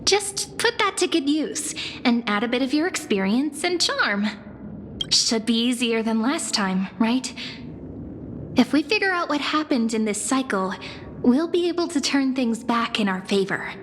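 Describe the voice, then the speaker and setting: An actress playing a thoughtful, playful young woman companion in a video game, with a measured, confiding, wry manner. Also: a little high, big pitch swings, a bit bright, slight background noise. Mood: encouraging, playful.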